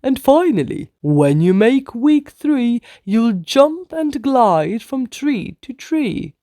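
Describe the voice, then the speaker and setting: A woman voicing a wise old female bird who gives firm, encouraging instructions in a booming, measured storybook manner. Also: big pitch swings, mellow, no room sound, clean studio sound. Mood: instructive, encouraging.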